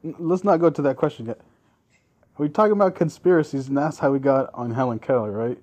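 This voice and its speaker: Young man, first language Spanish, casual podcast host, mellow dark tone, laid-back, laughs a lot. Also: medium pitch, fairly quick. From moved to the US at seven. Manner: laid-back, laughs often, says "dude" and "like". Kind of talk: casual chat among friends.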